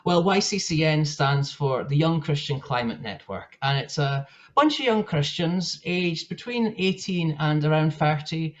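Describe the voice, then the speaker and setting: Young man, northeast Scottish accent, chatty presenter on a call, slightly high-pitched, muffled lo-fi sound. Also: unhurried, few pauses. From northeast Scotland. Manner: chatty, many fillers and restarts. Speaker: guest speaker. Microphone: video call. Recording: dull lo-fi call audio.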